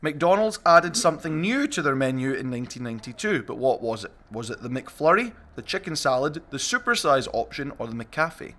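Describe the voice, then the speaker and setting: A man's voice: mid-range voice, very animated, a little fast, hardly any pauses, bright, forceful tone. A man hosting a casual group podcast chat and reading out quiz questions as quizmaster.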